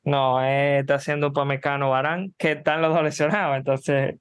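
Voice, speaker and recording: A man co-hosting a football podcast, speaking in a calm, measured way and calmly correcting his co-host with facts. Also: mid-range voice, smooth. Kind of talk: casual football chat.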